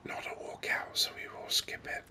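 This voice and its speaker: Whispering man, bright and crisp hiss. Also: moderate pace. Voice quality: breathy, unvoiced whisper. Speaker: gaming video host. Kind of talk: whispered ASMR game commentary.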